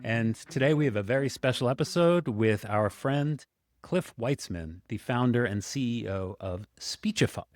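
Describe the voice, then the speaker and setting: A man's voice: medium pitch, animated, normal speed, no echo. A man co-hosting a podcast, enthusiastic and rambling, with many likes and ums. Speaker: podcast co-host. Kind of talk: casual podcast chat.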